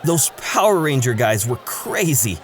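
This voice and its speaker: A man's voice: medium pitch, very animated, a little slow, a little hiss or hum. A man playing an eager, awkward male student in a casual, chatty audio drama style. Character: excitable, awkward male student, lovelorn new kid.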